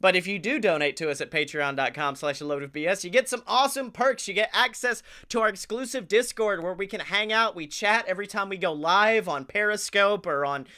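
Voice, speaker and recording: A man's voice: high voice, a little fast, few pauses, clean tone. A man co-hosting a comedy podcast, chatting casually with profane, jokey plugs. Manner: profane, jokey, animated plugging.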